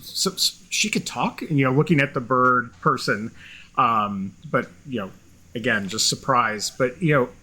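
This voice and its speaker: A man narrating a tabletop game as game master, halting and filled with restarts. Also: mid-range voice, pushed and bright.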